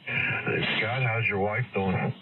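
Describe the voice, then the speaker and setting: Man buried in loud radio static. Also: lowish voice, fairly even intonation, slow. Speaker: amateur radio operator. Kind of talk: casual radio chat. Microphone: amateur radio transmission. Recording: narrow phone-like radio signal, loud static.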